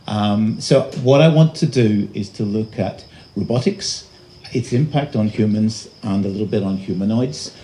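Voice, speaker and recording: A man giving a public lecture as an invited speaker, presenting slides in a halting, pausing delivery. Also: fairly low pitch, warm tone. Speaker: invited conference speaker, robotics researcher. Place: live talk at a festival venue. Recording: noisy live event recording.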